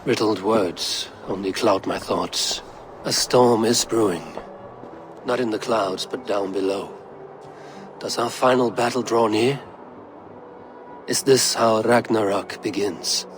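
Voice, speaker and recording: An actor playing a proud, defiant Norse god and war leader in a dramatic video game. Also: male voice, lowish voice, slow, long pauses, over music. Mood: brooding.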